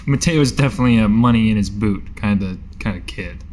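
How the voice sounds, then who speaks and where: A man's voice: lowish voice, a little fast, darkish tone. A man playing a tabletop role-playing game, bantering casually and arguing playfully in and out of his part.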